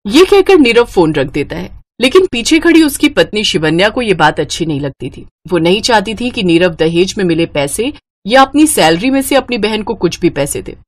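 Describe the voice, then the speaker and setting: A woman tells a scripted moral story as narrator. Her voice sits at a medium pitch for a woman. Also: sing-song, moderate pace, dry recording, studio quality.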